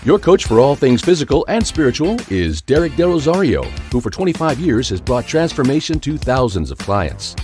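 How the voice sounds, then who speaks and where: Lively male announcer over faint music. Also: medium pitch, normal speed, few pauses. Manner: polished announcer delivery. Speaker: show announcer. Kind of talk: scripted intro. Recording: echoey with music underneath.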